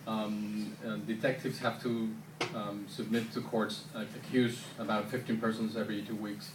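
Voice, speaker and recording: A man's voice: fairly low pitch, level tone, normal speed. A man answering audience questions at a university screening, thoughtful, with many ums and restarts. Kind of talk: public Q&A answers. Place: university auditorium. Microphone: handheld camera from the audience. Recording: echoey hall, far handheld camera, noisy.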